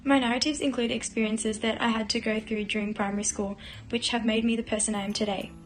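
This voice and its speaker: A young woman whose first language is Greek reads steadily in a fairly high, very flat voice. Faint music sits behind her. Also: quick pace. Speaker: university student. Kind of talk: read-aloud personal account.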